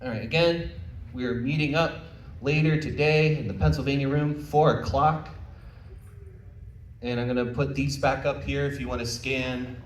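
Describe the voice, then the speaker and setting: A man's voice: medium pitch, steady tone, normal speed. A man speaking as a panelist at a convention, informal and conversational, with frank encouragement. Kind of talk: informal convention talk with audience questions. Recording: roomy, distant mic, faint background noise.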